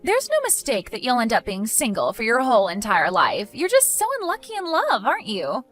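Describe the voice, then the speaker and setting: A woman's voice: on the high side, huge pitch swings, brisk, fairly bright tone, faint music behind, heavily acted. A woman voicing a catty, condescending, gossipy sister-in-law in an exaggerated, melodramatic style for a dramatized story. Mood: smug mockery. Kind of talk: dramatized text-message story voice acting.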